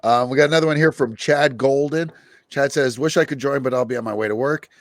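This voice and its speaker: A man's voice: medium pitch, fast talker, dry recording. A man hosting a casual podcast, chatting and reading out listener questions. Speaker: podcast host.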